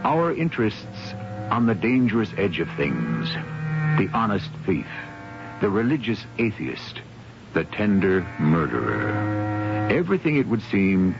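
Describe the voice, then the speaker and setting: A man hosting and narrating a radio drama, theatrical and deliberate, with a wry way of addressing listeners. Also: fairly low pitch. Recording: dull vintage radio recording. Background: music.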